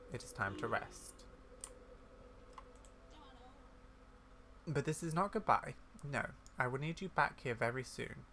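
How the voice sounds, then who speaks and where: A man acts out a kindly old granny scholar from a video game. The voice is bright, with a theatrical, wordy storyteller's delivery and endearing manner. Faint noise sits behind it. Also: medium pitch. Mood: fond, solemn.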